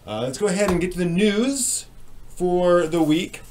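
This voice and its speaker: A man hosting a live stream in a casual, chatty way, with a halting, hesitant delivery that tends to trail off. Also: high-pitched, expressive, a little mellow, slight background noise.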